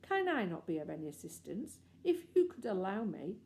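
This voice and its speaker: A woman's voice: medium pitch, big pitch swings, moderate pace, warm tone, slight background noise. Woman putting on a pompous, haughty young man. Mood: languidly polite. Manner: languid, airy, haughtily polite. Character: pompous, irritable young man, airily condescending to children.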